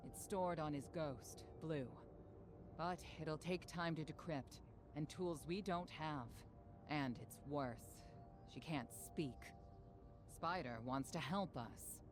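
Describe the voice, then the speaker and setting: Video game field commander: low voice, stern, clipped, mistrustful, over prominent music. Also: female voice. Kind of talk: scripted video game dialogue.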